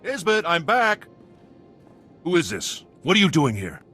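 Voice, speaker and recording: High, raspy, agitated smuggler. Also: male voice, huge pitch swings, unhurried, bright, forceful tone, soft background music, exaggerated voice. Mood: alarmed, angry. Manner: agitated, defensive. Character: nervy, defensive male crime syndicate smuggler. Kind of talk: video game dialogue acting.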